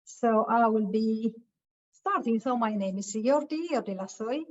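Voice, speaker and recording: Muffled high-voiced woman on a call. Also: lively intonation. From Ethiopia. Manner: halting, many filler sounds. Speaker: webinar speaker. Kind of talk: presentation on a video call. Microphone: video call.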